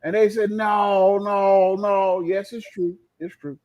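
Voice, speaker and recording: A man's voice: high voice, level tone, slow pace, muffled recording. A man from North Carolina, a guest on a live stream, telling playful stories in a casual, boastful way. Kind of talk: casual storytelling.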